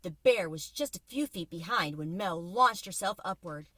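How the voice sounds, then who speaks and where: A woman reading a children's book aloud from inside a car, with expressive, suspenseful dramatic narration like a teacher. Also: lowish voice, moderate pace, dry recording. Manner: expressive, suspenseful storytelling with varied volume.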